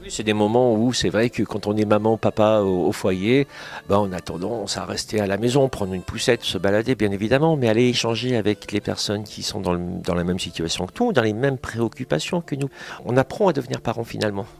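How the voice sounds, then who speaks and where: A man's voice: lowish voice, a little fast, creaky, darkish tone. A man hosting a conversational radio interview, summing things up in short phrases, recorded in a small kitchen. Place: small kitchen of a community family centre.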